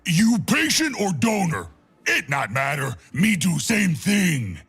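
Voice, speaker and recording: Game brute, self-styled doctor: dim, crazed, booming, broken grammar. Husky and slow, over music. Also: male voice, huge pitch swings, fairly bright tone, full character voice. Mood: mock-professional. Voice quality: gravelly, raspy. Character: big crazed dim-witted brute who believes he is a doctor. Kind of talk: video game character voice lines.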